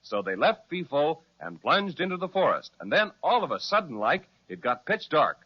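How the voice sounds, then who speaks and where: A man's voice: a little high, big pitch swings, normal speed, husky, muffled recording. A man narrating a scripted children's story recap, addressing young listeners as a storyteller.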